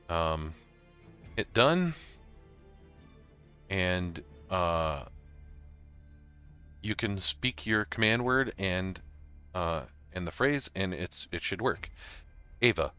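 Deep, bright-voiced man giving a tutorial. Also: animated, slow, long pauses, clear, resonant tone, soft background music. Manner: informal, step-by-step explaining. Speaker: host of a software tutorial. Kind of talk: casual screen-recorded walkthrough.

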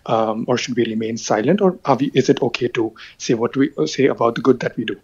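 A man's voice: medium pitch, fast, darkish tone, husky. A man calling in with a hesitant question full of fillers, heard over a muffled call line. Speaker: caller. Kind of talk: phone-in question.

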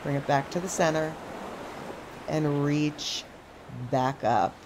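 A middle-aged woman with a slightly low voice calmly guides a stretching routine, one cue at a time. She is recorded outdoors on a beach from a camera set some way off. Clear ocean surf rolls behind her. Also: takes it slowly, background noise. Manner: calm step-by-step cueing. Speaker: stretch instructor. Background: ocean waves.

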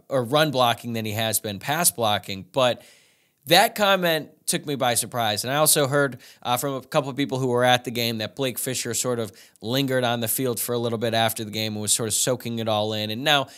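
A man's voice: medium pitch, fairly bright tone. A young man in his twenties hosting a solo podcast, giving casual postgame sports analysis with frequent fillers into a studio microphone in a small room. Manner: casual, conversational, many "uh" and "like" fillers. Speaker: podcast host. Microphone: studio microphone in front of him.

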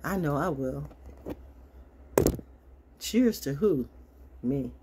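An older woman vlogger ranting casually to camera in a brash, theatrical, confrontational way, with a husky voice, recorded on a phone in a small room. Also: sits low, animated, extremely slow, noisy background. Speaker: online vlogger. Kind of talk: casual rant to camera with card reading. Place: small wood-panelled room indoors. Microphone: phone at arm's length.

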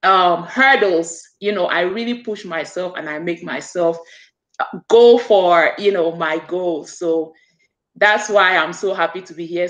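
A woman's voice: lowish voice, a bit bright. A woman in her forties from Cameroon, a business coach and author, giving an earnest, motivational account of herself as a guest on a video call. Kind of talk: interview guest telling her story. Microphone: webcam on a video call. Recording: echoey room over a video call.

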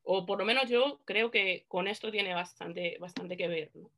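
Bright-voiced woman on a video call. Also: medium pitch. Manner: animated, gestures while talking, halting with fillers. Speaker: panel guest. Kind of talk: casual chat on a video call. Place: dim room at home. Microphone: laptop webcam on a video call.

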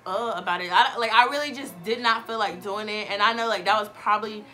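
A woman's voice: mid-range voice, a little fast, pushed and bright, soft background music. A young woman vlogging to camera from her bedroom, chatty and encouraging, slightly sniffly while getting over a cold. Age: young adult. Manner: chatty, encouraging, addresses viewers as you guys. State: recovering from illness, sniffly. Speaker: vlogger, college student. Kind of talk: casual advice vlog to camera. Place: bedroom, sitting on a bed.

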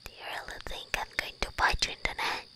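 A woman playing a teasing, commanding vampire mistress in a breathy, whispered ASMR roleplay. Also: pushed and bright. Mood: teasing, menacing. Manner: slow, imperious, teasing.

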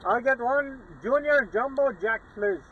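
A man's voice: very high voice, measured pace, phone-quality sound. A young man ordering casually at a drive-thru from inside a car, with a polite, hesitant manner. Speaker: prankster customer at drive-thru windows. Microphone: camera inside the car. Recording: noisy car with engine and street ambience.

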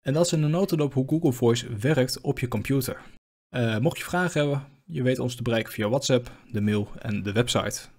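Slightly husky man presenting a software tutorial. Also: mid-range voice, a little fast. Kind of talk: informal screen-share tutorial narration.

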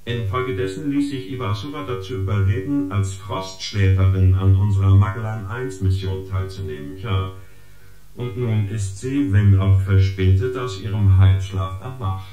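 An actor playing a knowing investigator who reports a scandal in a science fiction radio play. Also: male voice, on the low side, normal speed, close to an everyday voice. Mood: knowing, intrigued. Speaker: actor in an audio drama. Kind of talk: dramatised expository storytelling in a radio play. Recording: echoey, guitar music behind. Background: plucked guitar music.

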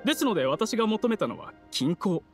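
A man's voice: high-pitched, sing-song, fairly quick. An actor playing a polite, earnest male candidate in a Japanese fantasy video game, speaking formally. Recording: music behind the voice.

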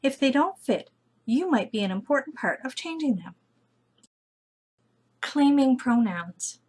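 A woman reading a children's picture book aloud as a storyteller, with deliberate, careful phrasing. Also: high voice, very animated, darkish tone, husky.

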